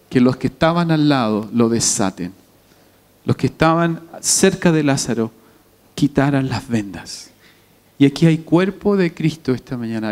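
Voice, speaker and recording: A man's voice: medium pitch, a little mellow. A man exhorts a congregation phrase by phrase, leading prayer as a pastor. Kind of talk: altar call and prayer. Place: stage with band before a congregation, stone wall and plants. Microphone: handheld.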